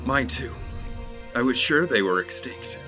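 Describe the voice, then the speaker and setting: A man acting as an awestruck, giddy scientist in an audio drama, speaking in a halting, stammering way. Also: medium pitch, expressive, music in the background, natural voice. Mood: giddy, awed. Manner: halting, stammering, breaking into giddiness. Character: overjoyed, awestruck male dinosaur scientist.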